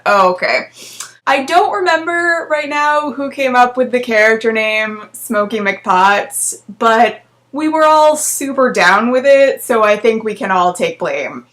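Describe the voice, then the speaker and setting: A woman's voice: mid-range voice, a bit bright. A young woman vlogging in a casual chat and reading out her old writing, wry and self-deprecating.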